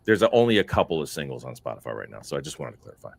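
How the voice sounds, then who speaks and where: A man appearing as a guest musician on a casual livestream, blunt and quick with asides, swearing freely. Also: very deep voice, sing-song, gravelly.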